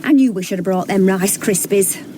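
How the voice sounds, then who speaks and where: A woman's voice: medium pitch, moderate pace, warm tone, a little hiss or hum, lightly acted. An actress playing a fussy, prim, scolding older woman stranded on a hike in a radio comedy. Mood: grumbling. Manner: prim, grumbling, matter-of-fact. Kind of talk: radio comedy drama acting.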